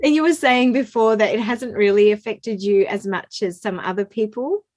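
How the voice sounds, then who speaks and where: A woman's voice: mid-range voice, normal speed, darkish tone, soft background music. A woman hosting a podcast interview, encouraging her guest with frequent affirmations. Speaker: podcast host. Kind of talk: interview chat.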